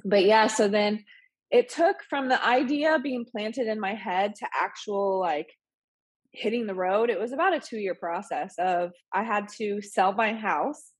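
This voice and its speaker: Sick woman in her 30s, nasal and kinda husky, chatty, dry close sound. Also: mid-range voice, measured pace, dry recording. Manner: chatty, rambling with many fillers and laughs. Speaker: podcast guest. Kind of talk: casual interview chat.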